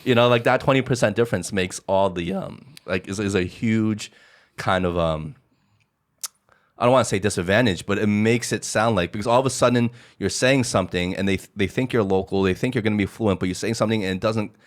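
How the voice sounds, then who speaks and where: A man's voice: lowish voice, fairly quick. A man from Hong Kong hosting a podcast, talking in a rambling casual way with many "like"s and frequent laughs. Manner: rambling, lots of "like", laughs often. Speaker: podcast host. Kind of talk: casual conversation.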